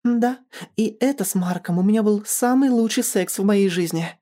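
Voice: medium pitch, normal speed, dark tone, voice pushed up, lightly acted. A performer voicing a brazen young woman in an audiobook story, defiant, smug and taunting without shame. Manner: provocative, taunting.

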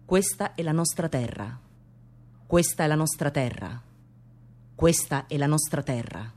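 An actress voicing a hateful, indignant xenophobic mob in radio theatre, hammering out repetitive insults. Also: female voice, sits low, lively intonation, measured pace, a little mellow.

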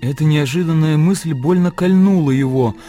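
Male radio-drama narrator over music. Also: fairly high pitch. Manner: measured, expressive storytelling.

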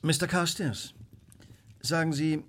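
A man playing a cool, eccentric master detective in a German audio drama, brisk, unruffled and incisive. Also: a little high, fairly even intonation, fairly bright tone. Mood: coolly superior.

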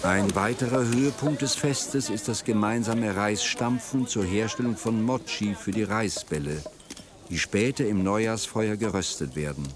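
A man reading literary narration for a radio play in a measured, formal way. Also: lowish voice, crowd noise.

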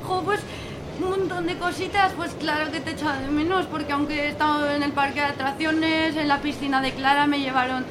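A woman playing an excitable, affectionate little sister in a radio drama. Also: high voice, a little fast, over music, a crowd in the background, lightly acted. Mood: excited, affectionate. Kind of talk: amateur radio drama acting.

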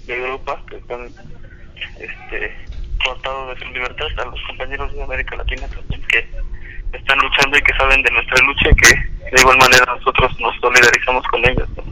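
A Mexican man giving a hesitant personal statement, pausing often as he speaks over the telephone. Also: medium pitch, bright, forceful tone. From Oaxaca, Mexico. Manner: hesitant, halting delivery with frequent pauses. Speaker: imprisoned activist. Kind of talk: spoken personal statement in a phone call. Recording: noisy, muffled prison telephone line.

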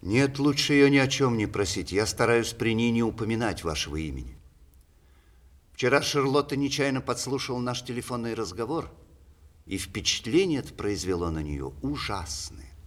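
An actor playing a witty, vain aging playwright in a 1960s Russian radio play, ironic and deliberate, with mock-tragic pauses. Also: male voice, mid-range voice, expressive, lightly acted. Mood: troubled, reflective. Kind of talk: 1960s Russian stage radio play, letters performed aloud.

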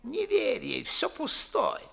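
A man's voice: very high voice, huge pitch swings, slow pace, creaky, fairly bright tone, full character voice. An actor playing an older, fussy, grumbling and suspicious father, blustering theatrically in 1950s Russian radio verse. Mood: nosy, grumbling. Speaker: stage actor.

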